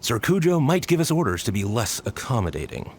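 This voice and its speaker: A man's voice: mid-range voice, big pitch swings, a little fast, a little hiss or hum, close to an everyday voice. An actor voicing a dutiful male soldier in a video game, speaking formally and politely.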